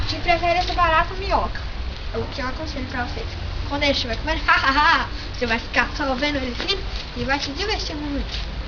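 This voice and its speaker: A woman with a Brazilian Portuguese accent hosting a playful homemade vlog, joking, swearing and breaking into shouts. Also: high voice, fairly quick, noisy background. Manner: excitable, jokey, swears and bursts into shouting. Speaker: vlog host. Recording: dull lo-fi home recording with music.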